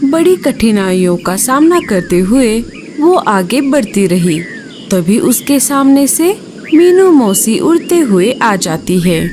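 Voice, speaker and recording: A woman's voice: a little high, sing-song, takes it slowly, background noise. A woman storyteller reading a scripted children's story.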